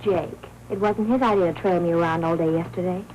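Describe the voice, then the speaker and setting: An American actress playing a scheming, defiant young wife in a 1940s noir film, with an old soundtrack sound. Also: female voice, fairly low pitch, fairly even intonation, fairly quick, a little mellow, a little hiss or hum, natural voice, low-quality recording. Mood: cool, needling.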